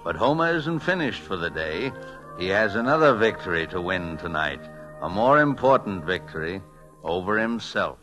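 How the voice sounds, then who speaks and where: A man narrates an old-time radio drama. His voice is lowish and forceful with lively intonation, with faint music behind on a vintage recording. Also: normal speed. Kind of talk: 1940s radio scripted narration.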